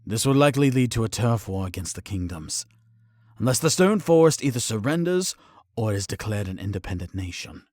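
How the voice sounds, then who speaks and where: A man playing a brisk, forceful knight commander in an audio drama. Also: fairly low pitch, expressive, natural voice. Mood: urgent.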